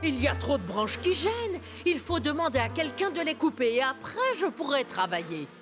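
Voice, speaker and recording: A woman playing a firm, businesslike bee specialist in a cartoon dub, expressive, with music behind her. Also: sits high, moderate pace.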